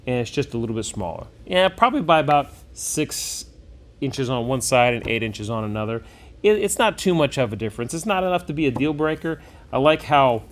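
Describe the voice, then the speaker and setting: Folksy man reviewing gear, noisy recording. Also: mid-range voice. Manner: enthusiastic, folksy, thinking out loud. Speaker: game shop owner and product reviewer. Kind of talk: casual hands-on product review. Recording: clearly noisy room recording.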